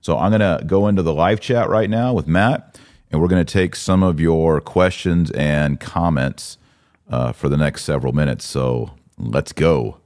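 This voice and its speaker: Male podcast host, deep voice, dry close sound. Emphatic, colloquial, opinionated live commentary. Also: moderate pace, darkish tone, dry recording. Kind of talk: live commentary and casual discussion.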